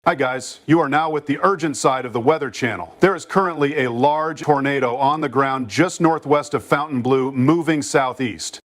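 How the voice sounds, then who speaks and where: A concerned TV meteorologist, played by a man, talks in a middle-pitched voice. He explains in a casual, slightly urging way, like amateur live storm coverage. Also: moderate pace, hardly any pauses, a bit bright, close to an everyday voice. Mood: explanatory. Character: concerned male TV meteorologist covering a dangerous tornado. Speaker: weather presenter.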